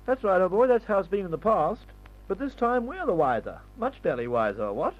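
A man's voice: high-pitched, very animated, a little fast, soft background music. An actor playing a breezy upper-class English airman in an old radio adventure serial, drawling and blustering in old-fashioned slang. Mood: jaunty, complacent. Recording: dull, muffled old radio recording.

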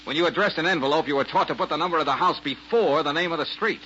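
An actor playing an easygoing, sharp male detective in a 1940s-style radio drama, with a halting, folksy delivery. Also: on the high side. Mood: explaining. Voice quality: halting, folksy, full of uhs. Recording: dull lo-fi old radio broadcast with faint hiss.

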